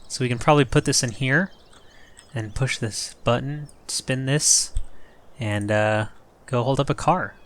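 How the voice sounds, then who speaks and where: A young man hosting a farming game let's play, slightly hoarse and rough-voiced, rambling and joking at himself as he thinks aloud. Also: medium pitch, fairly bright tone, no room sound. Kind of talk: casual gameplay commentary.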